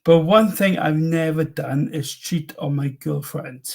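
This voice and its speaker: A man's voice: on the high side, unhurried, creaky, a little mellow. A man chatting casually as a guest, emphatic and swearing freely. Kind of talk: casual chat.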